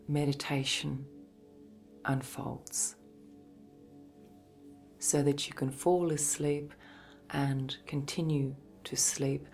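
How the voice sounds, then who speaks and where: A woman gently narrating a guided sleep meditation in a soft, breathy, soothing voice, with measured phrases and long pauses. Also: deep voice. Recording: voice over background music. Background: meditation music.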